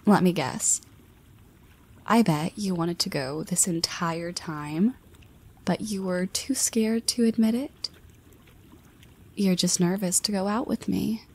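A woman's voice: lowish voice, slow pace, long pauses, darkish tone, slightly rough, heavily acted. A woman voicing a confident young woman who teases her friend, with playful half-whispered asides. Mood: teasing. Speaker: voice actress. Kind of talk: scripted audio roleplay.

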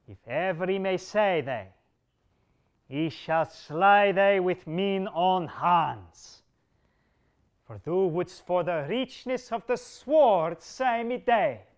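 A grave, wounded old king voiced by a male reader delivers his commands in slow, solemn, archaic language. The voice sits a little high and grows forceful when he gives orders. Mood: stern. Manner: solemn, archaic speech, sharpening to stern commands. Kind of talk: reading a medieval tale aloud.

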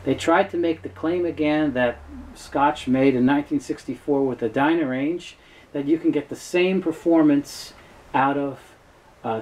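A man's voice: a little high, dark tone, poor audio. A middle-aged man giving an informal, matter-of-fact product explanation as a presenter. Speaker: presenter, tape seller. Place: small cluttered indoor room full of shelves and tapes.

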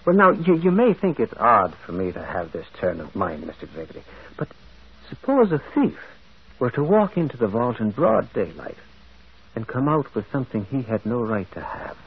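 A man's voice: medium pitch, big pitch swings, moderate pace, slightly rough. An actor playing a mild, inquisitive priest sleuth in an old radio drama, hesitant and repeating his words. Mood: politely probing. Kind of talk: 1940s radio acting. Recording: muffled, phone-like old radio recording.

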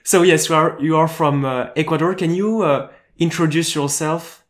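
A young man hosting an interview over a video call from home, asking questions haltingly with many fillers. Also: a little high. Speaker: host. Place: home room. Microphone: earphone mic on a video call.

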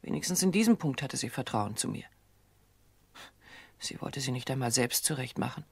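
An actress playing an anxious grown daughter under suspicion in a radio drama, speaking frankly and insistently. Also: female voice, rumbling low, expressive, normal speed, creaky, darkish tone, slightly rough, no echo, exaggerated voice. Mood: tense.

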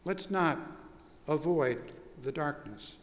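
An older man, a pastor, preaching a measured, reflective sermon with long pauses in a large church sanctuary. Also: fairly high pitch, lively intonation, long reverb tail.

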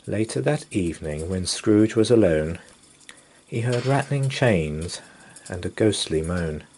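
A man reading a rhyming children's story aloud as a storyteller, with deliberate, theatrical expression. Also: deep voice, lively intonation, a little mellow, a little hiss or hum. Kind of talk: dramatic rhyming bedtime story narration.

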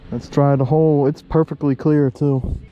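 A man with a mellow voice narrates his fishing trip by a lake. His commentary is casual, and it jumps loud and high whenever he hooks a fish. It is caught on a head-mounted camera, with faint outdoor noise behind him. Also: moderate pace, highs cut off. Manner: excitable, gets loud and high when fish bite. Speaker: fishing vlogger. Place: outdoors by a lake below a dam. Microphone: head-mounted action camera.

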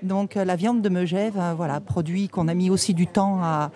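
A woman's voice: fairly low pitch, fairly even intonation, normal speed, dark tone. A middle-aged woman, co-manager of a restaurant and the mother of the pair, chatting easily in a radio interview on an outdoor terrace. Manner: chatty, addresses host with "si tu veux". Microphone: table mic on stand.

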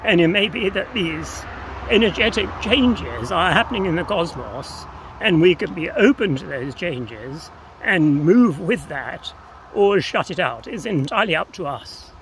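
A man's voice: high-pitched, expressive, unhurried, fairly bright tone, low-quality recording. An older man being interviewed outdoors, explaining ideas in a reflective, tentative, philosophical way. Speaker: interview guest. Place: outdoors on open grassland by a path. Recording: outdoor recording with clear ambient noise.

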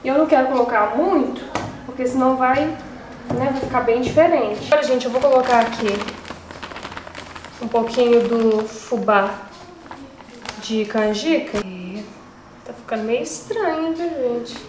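A woman's voice: on the high side, measured pace, pauses often, roomy sound, background noise. A young woman chatting to viewers in a casual, exasperated way as she cooks in her home kitchen. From Brazil. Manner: exasperated, chatty, talks to viewers while cooking. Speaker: channel host. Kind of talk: casual cooking vlog chat.

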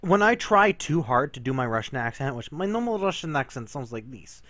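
A man's voice: mid-range voice, sing-song, brisk, a bit bright. A man casually chatting while playing a co-op zombie game with a friend, joking, rambling and laughing often. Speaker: gameplay commentator. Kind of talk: casual gameplay chat with a friend.

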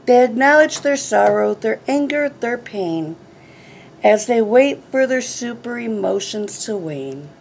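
A middle-aged woman reading a children's picture book aloud in an expressive, deliberate, phrase-by-phrase way. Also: fairly high pitch. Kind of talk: read-aloud storytelling. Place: small classroom, indoors. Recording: clearly noisy room recording.

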